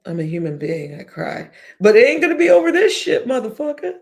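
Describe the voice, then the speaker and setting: A woman's voice: high-pitched, gravelly. A woman talking casually on a livestream, blunt and profane, with a slightly whiny-sounding voice, speaking straight to her viewers. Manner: blunt, profane, chatty, addresses chat directly. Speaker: YouTube live streamer.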